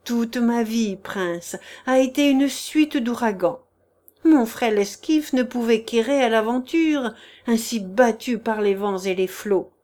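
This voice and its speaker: A woman's voice: on the high side, unhurried, darkish tone, close to an everyday voice. Woman doing a groveling, pleading swindler. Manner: pleading, tearful, wheedling. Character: groveling male swindler begging for mercy. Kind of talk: audiobook character reading.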